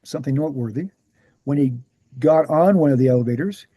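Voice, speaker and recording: Man acting as a mellow, dark-voiced earnest author-lecturer. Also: a little high, fairly quick, slightly rough, dry recording. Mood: measured, factual. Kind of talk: screenplay table read, explanatory lecture.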